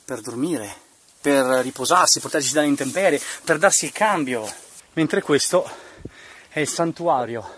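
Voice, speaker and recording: A man giving casual, exclamatory commentary as he hosts a motorcycle vlog outdoors. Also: fairly high pitch. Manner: effusive, exclamatory, enthusiastic. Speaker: motovlogger host. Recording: loud wind and engine noise.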